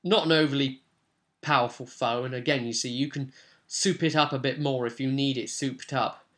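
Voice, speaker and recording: A man with a mid-pitched voice talks informally as a game master recapping a tabletop session. His delivery is conversational and rambling, with sudden stresses on certain words. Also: fairly even intonation, moderate pace, a bit bright. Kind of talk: informal vlog recap and advice on a tabletop role-playing session.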